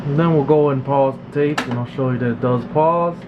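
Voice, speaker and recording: A man's voice: medium pitch, brisk. Man narrating casually over noisy, dull audio. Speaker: repair technician demonstrating his work. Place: indoor electronics workshop. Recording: slightly dull, noisy hum of room. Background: steady background noise.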